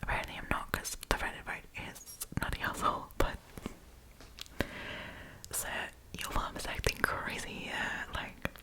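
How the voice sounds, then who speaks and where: A woman's voice: moderate pace, low-quality recording. A woman doing a casual ASMR storytime, chatty and informal, close to the microphone as she reads and comments. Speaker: host reading Reddit stories and commenting.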